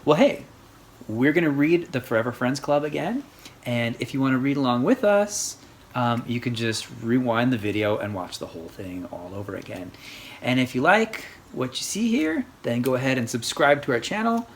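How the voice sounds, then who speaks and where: An adult storyteller reading a rhyming picture book aloud for children in an expressive, sing-song way. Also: medium pitch, normal speed. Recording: faint background noise.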